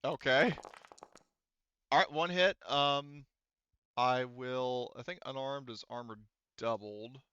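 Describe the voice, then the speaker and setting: A man runs a tabletop roleplaying game with a forceful, clear voice at a middling pitch. His narration is casual and informal, and the close, dry sound is slightly dull and lo-fi. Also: slow pace, long pauses, dry recording. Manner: informal, occasional swearing. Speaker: game master.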